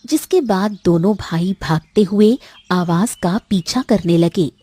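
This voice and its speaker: A woman's voice: mid-range voice, a little slow, mellow, slightly rough, dry recording. A woman narrator reading a scripted cartoon story.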